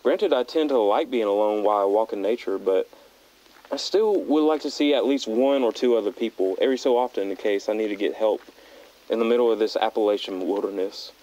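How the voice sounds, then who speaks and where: Dark-voiced young hiker played by a man on dull tape. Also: on the low side, brisk, natural voice. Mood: puzzled, uneasy. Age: young adult. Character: uneasy teenage male backpacker keeping audio diary. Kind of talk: found-footage audio log narration. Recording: dull lo-fi handheld tape recorder.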